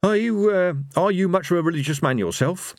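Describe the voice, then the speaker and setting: Man acting a nervy, wry coward, raised pitch. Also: lively intonation, brisk, dry recording, clean studio sound. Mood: nervous.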